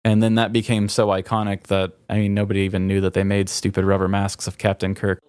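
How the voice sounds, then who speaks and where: A man's voice: fairly low pitch, monotone, fast talker, a little mellow, clean tone, no room sound. A man co-hosting a podcast, talking casually and explaining things in an easygoing, anecdotal way. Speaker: podcast co-host. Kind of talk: casual conversational chat.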